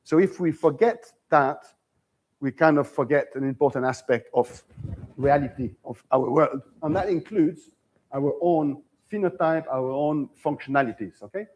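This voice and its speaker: A man's voice: on the high side, normal speed, a little mellow, poor audio. A man giving an academic seminar lecture in a conversational way, often checking in with "okay?" and restarting phrases. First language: French. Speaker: visiting lecturer.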